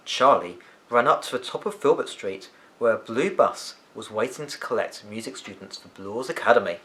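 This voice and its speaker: A man reading a children's novel aloud as an expressive storyteller. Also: medium pitch.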